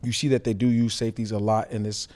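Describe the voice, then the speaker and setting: A man's voice: fairly low pitch, flat delivery, fast, a little mellow. An American man, a former football player, co-hosting a podcast and asking questions in a conversational way. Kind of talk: conversational sports interview.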